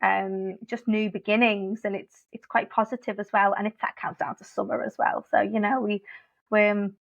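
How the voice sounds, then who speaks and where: A woman's voice: medium pitch, little pitch movement, moderate pace, creaky, dull audio. A woman, an early years teacher, chatting as a podcast guest and laughing often. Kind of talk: casual podcast chat.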